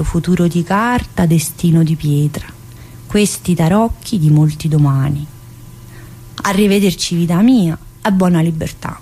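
A woman's voice: fairly low pitch, mellow. A woman performing a theatrical monologue as a chatty, bossy, needy prisoner, with a colloquial, teasing manner. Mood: tender, wistful. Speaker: actor in a solo stage monologue.

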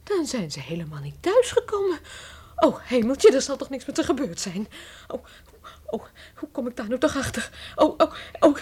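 An actress playing an excitable, scatterbrained housekeeper in a comic audio drama, flustered, exclaiming and stammering. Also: female voice, sits high, normal speed, husky, exaggerated voice. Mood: panicked. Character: excitable, scatterbrained housekeeper, quick to laugh and defend herself.

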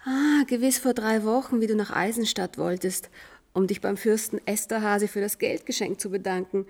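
A woman's voice: medium pitch, fairly even intonation, moderate pace, a little mellow, close to an everyday voice. A woman playing a composer's fond, anxious and curious wife in a German radio play, with homely, old-fashioned familiar speech. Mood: surprised, curious.

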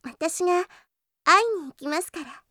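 Audio drama role: a devoted, demure young anime heroine, very high voice, hesitant and extremely polite. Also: female voice, moderate pace, pushed and bright, no room sound, clean studio sound. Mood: calm, polite. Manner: very polite, hesitant, apologetic speech.

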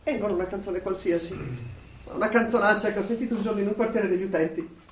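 An actor playing a nervous, furtive married man in a radio play, speaking in an anxious, halting, confiding way. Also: sits high, steady tone, close to an everyday voice. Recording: echoey room, distant mic, faint noise.

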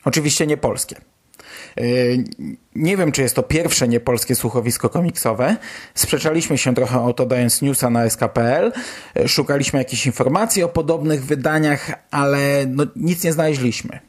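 A Polish man presents podcast news in a medium-pitched voice. He talks casually and colloquially, like someone chatting to regular listeners, with the odd mild swear word. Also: moderate pace, husky. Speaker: podcast host.

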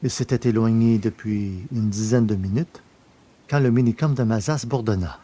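A man's voice: lowish voice, moderate pace, dark tone, slightly rough. A man narrating a scripted science fiction adventure story in a literary, storytelling style.

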